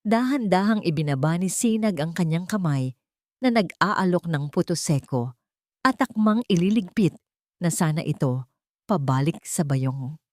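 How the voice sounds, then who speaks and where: Animated female Filipino storyteller, dry close sound. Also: fairly low pitch, unhurried, dry recording. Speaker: story narrator. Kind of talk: dramatic scripted storytelling narration.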